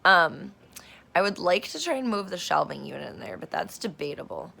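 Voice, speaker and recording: Hoarse woman rambling in a vlog. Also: fairly low pitch, sing-song, normal speed, pushed and bright, a little hiss or hum. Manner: meandering, many fillers and self-corrections, swears casually. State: hoarse, losing her voice. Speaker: vlogger. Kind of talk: casual rambling vlog chat. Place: at home, in a room being turned into an office. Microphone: phone camera recording herself.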